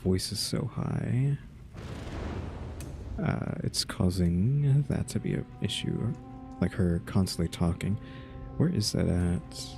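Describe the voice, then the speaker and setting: A man's voice: low voice, lively intonation, slow pace, creaky, pauses often, music in the background. A man giving casual, laid-back commentary as he plays a video game, musing aloud. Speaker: gaming video host.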